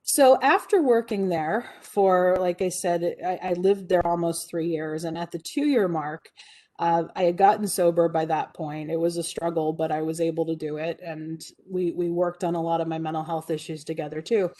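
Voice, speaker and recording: A woman's voice: fairly low pitch, steady tone, moderate pace, mellow. A woman in her forties giving a talk, telling a personal story in an earnest, reflective way with frequent fillers and restarts. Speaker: guest speaker.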